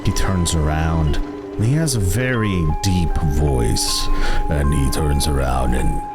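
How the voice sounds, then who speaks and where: A man narrating a tabletop game as game master, setting scenes cinematically with deliberate, dramatic phrasing. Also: a bass voice. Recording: loud music behind the voice.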